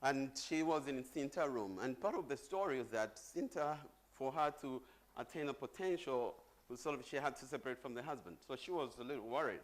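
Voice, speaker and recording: A man's voice: medium pitch, lively intonation, brisk. A middle-aged man from Zambia giving a chatty conference presentation on stage, joking with the audience. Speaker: conference speaker.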